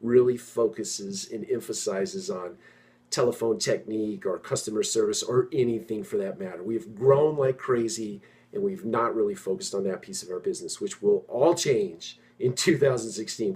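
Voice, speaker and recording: A middle-aged man talking informally to his industry peers as an executive host, stressing points by repeating phrases and pausing mid-sentence. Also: lowish voice, a little mellow. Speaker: property management executive and host.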